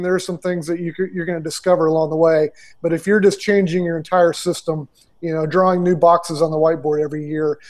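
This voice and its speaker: A man's voice: fairly high pitch, a little mellow. A man in his forties, a ministry consultant, answering interview questions over a video call through earbuds, talking conversationally with many fillers and restarts. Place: small room indoors. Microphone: earbud headset on video call.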